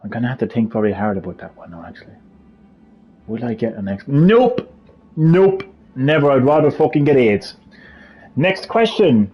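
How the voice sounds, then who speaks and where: Swearing Cork Irish streamer. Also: male voice, medium pitch, animated, moderate pace, long pauses. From Ireland. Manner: profane, jokey, ranting. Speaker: livestream host. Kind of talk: casual live stream chat with viewers. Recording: slightly muffled stream audio.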